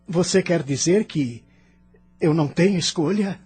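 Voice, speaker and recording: A man's voice: a little high, unhurried, darkish tone, slightly rough. A Brazilian man acting a bitter, self-justifying spirit in the afterlife in an audio drama, defensive and questioning. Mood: aggrieved, protesting. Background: music.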